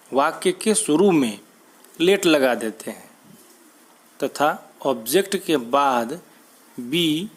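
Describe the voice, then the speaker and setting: A man with an Indian accent teaching a grammar lesson, explaining deliberately and spelling out examples word by word. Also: a little high, rough recording. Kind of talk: scripted instructional lesson narration.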